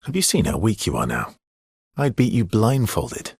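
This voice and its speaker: An actor playing a wry, loyal, world-weary swordsman in a video game, with a husky, breathy voice and a dry, teasing manner. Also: fairly low pitch, wildly swooping pitch, normal speed, a little mellow, no echo, exaggerated voice.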